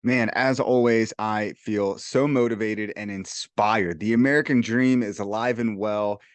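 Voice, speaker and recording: A man's voice: fairly low pitch, fairly even intonation, unhurried, dry recording. A man co-hosting a podcast, speaking in an upbeat, enthusiastic way while introducing an episode. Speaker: podcast co-host.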